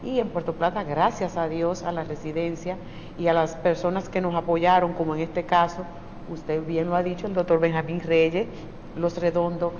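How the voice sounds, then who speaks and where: A woman, an emergency physician on a TV talk show, speaking in a measured way and adding short remarks. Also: fairly low pitch, darkish tone, in a large hall, slight background noise, rough recording. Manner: measured, adds brief interjections. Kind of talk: TV interview.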